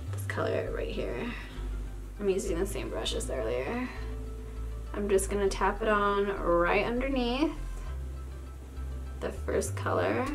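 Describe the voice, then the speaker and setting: A young adult woman with a raspy, tired voice, chatting casually and rambling in a self-deprecating way as she does her makeup. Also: medium pitch. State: tired, short of sleep. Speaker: beauty vlogger. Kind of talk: casual makeup tutorial chat. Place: small indoor room, plain wall. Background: music.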